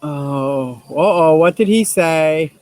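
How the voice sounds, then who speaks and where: A woman in her sixties with a deep voice, hosting a casual livestream, reading viewer comments and laughing often in a gushing, playful way. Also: slow, a little mellow, no echo. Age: 60s. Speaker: livestream host.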